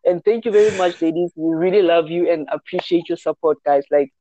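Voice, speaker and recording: A man's voice: fairly high pitch, a little fast, mellow, no echo, lo-fi sound. A man co-hosting a casual MMA podcast over a remote call, easygoing, with frequent "yeah" and "um". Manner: easygoing, says "yeah" and "um" often. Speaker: podcast co-host.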